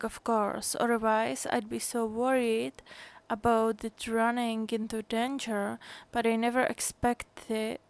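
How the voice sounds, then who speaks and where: A woman game streamer chatting casually and reading game dialogue aloud in a musing, hesitant way. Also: a little high.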